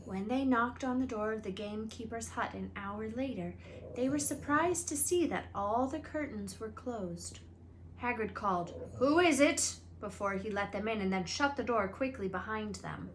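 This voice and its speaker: A woman reading a children's book aloud as a storyteller, with expressive narration. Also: fairly high pitch, normal speed, rough recording. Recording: faint background noise and ambience.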